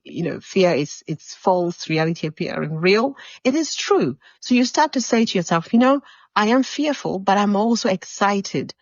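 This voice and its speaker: A woman's voice: medium pitch, dry recording, dull audio. A woman from Uganda who works as a motivational speaker and coach, giving a live-streamed talk in a conversational, encouraging way and speaking straight to her listeners. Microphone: video call or livestream mic.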